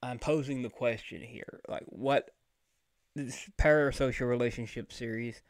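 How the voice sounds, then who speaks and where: A man's voice: medium pitch, fairly even intonation, unhurried. A man hosting a casual reaction commentary, talking bluntly and sounding irritated. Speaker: commentary host.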